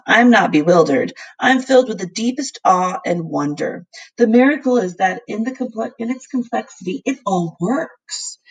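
Gravelly-voiced woman reading a storybook aloud. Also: rough recording. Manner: lively character voices, pitching up for the children. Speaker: teacher reading aloud to her class. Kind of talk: children's book read-aloud.

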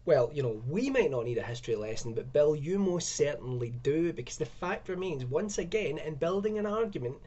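A man's voice: a little high, lively intonation, fairly quick, few pauses, dark tone, dull audio. A young man giving an exasperated, sarcastic commentary from a room at home, speaking into a webcam. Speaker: video commentator. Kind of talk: ranting video commentary.

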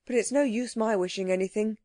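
A woman voicing an excitable, blunt young schoolboy in a children's storybook. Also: medium pitch, brisk, dry recording, clean studio sound, natural voice. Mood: urgent, grumbling. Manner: urgent, blunt.

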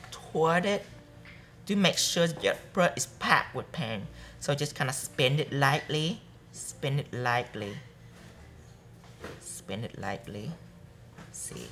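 A young man teaching a live nail art workshop, chatty and repeating steps softly as he demonstrates. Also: on the high side, slight background noise. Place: bright studio classroom with students.

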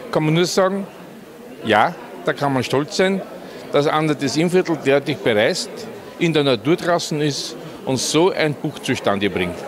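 Male local in his seventies, Upper Austrian accent, slightly raised pitch, interviewed amid a crowd. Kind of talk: casual interview. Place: large indoor event hall. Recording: crowd chatter behind.

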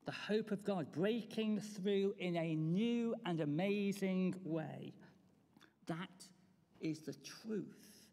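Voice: medium pitch, slow, mellow. A preacher giving an Easter sermon in a reflective tone, asking the congregation rhetorical questions. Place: church. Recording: long church reverb.

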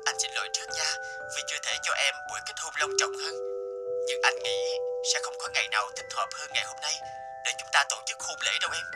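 A devoted young groom in a cartoon, voiced by a man, earnest and anxious. His voice is a little high and pleading, over loud background music. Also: fast talker, lightly acted. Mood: tender. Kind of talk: cartoon dubbing.

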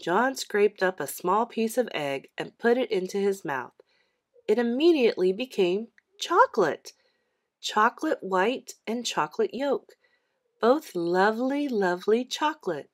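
A woman reads a children's story aloud as narrator. Her mid-pitched voice moves expressively up and down through the sentences. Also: lively intonation, measured pace.

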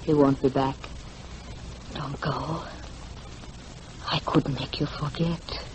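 A worldly, self-indulgent femme fatale in a 1940s radio drama. Her voice sits on the low side and her phrasing is formal, over faint background noise. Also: fairly even intonation, slow, poor audio. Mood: seductive. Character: seductive, worldly, amoral married femme fatale.

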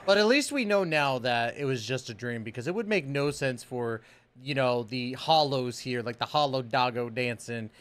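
A man's voice: mid-range voice, lively intonation, normal speed, a bit bright, smooth. An American man in his thirties hosting a reaction video with casual, slangy, exclamatory commentary into a close microphone. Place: small indoor room full of collectibles. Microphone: close microphone on boom arm.

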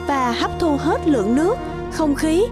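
Lady storyteller for kids cartoon, high voice, loud music all under her. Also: animated, measured pace. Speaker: narrator. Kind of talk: scripted children's fairy-tale narration.

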